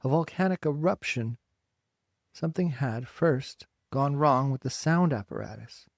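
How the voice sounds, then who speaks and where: Slow, dry-sounding male audiobook narrator. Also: mid-range voice, lively intonation, no room sound. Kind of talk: dramatic literary story narration.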